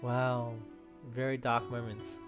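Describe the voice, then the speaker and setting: A game streamer talks casually in a medium-pitched voice. The short reactions come over the game's music. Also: level tone, slow pace.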